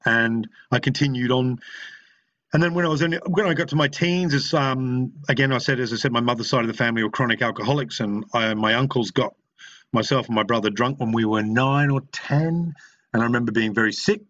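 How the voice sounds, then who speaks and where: Middle-aged Australian man, frank recovery-meeting share, halting restarts, mid-pitched voice, a bit muffled. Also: a bit bright. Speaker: speaker sharing at a recovery meeting. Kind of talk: personal testimony.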